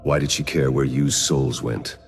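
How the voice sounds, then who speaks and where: A man's voice: extremely low pitch, little pitch movement, measured pace. A man voicing a stoic, resolute fantasy video game hero who speaks in a terse, grave way. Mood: grave, questioning. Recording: long hall reverb, music underneath.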